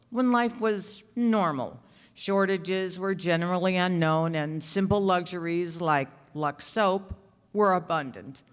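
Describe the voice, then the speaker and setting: Slow, low-pitched woman radio narrator. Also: smooth. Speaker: radio show announcer and narrator. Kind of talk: scripted narration in old-time radio style. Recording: echoey hall, music behind. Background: music.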